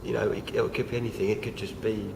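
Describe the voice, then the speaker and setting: A young man, croaky from feeling unwell, talking casually to camera with many fillers and restarts. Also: fairly low pitch, flat delivery, quick pace. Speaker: vlogger. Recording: noisy home recording.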